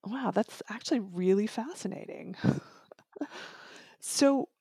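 A woman's voice: mid-range voice, takes it slowly, gravelly, warm tone, breathy edge, no room sound. Woman hosting in a dry studio. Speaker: interview host. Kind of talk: audiobook podcast interview.

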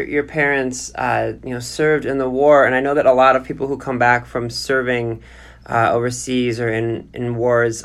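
A man's voice: medium pitch, a bit bright. A man interviewing a guest conversationally, building long, tentative questions.

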